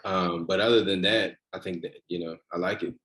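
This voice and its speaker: A man's voice: low voice, fairly even intonation, brisk, fairly bright tone. A male actor giving his thoughts informally in a group video call, rambling as he thinks aloud. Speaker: actor at a table read. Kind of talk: casual group discussion. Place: indoors on a virtual meeting.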